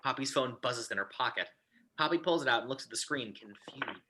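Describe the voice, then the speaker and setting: A man with a husky voice reading scripted stage directions as narrator of a table read. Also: medium pitch, expressive, brisk, sharp tone.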